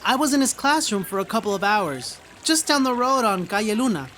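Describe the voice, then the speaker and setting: A woman's voice: a little high, sing-song, a little fast, fairly bright tone, slight background noise. A woman playing an earnest young heroine in an audio drama, patiently explaining a secret to a friend.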